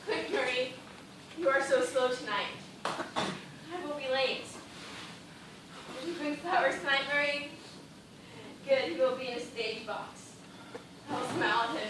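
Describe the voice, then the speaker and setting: Woman acting a high-pitched, desperate, proud dancer in an echoing theatre. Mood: delirious, dreamy. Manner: slow, imploring, melodramatic. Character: proud, desperate female dancer, parched and delirious. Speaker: stage actor. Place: indoor theatre stage, recorded from afar. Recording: echoey stage, distant microphone, noisy.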